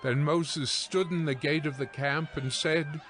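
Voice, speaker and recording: Slow, solemn male scripture narrator. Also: a little high, fairly even intonation, fairly bright tone. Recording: music behind the voice.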